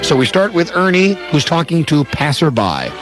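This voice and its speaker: A man's voice: a little high, sing-song, normal speed, loud music behind the voice. A man hosting a radio show, bantering casually and steering a skit.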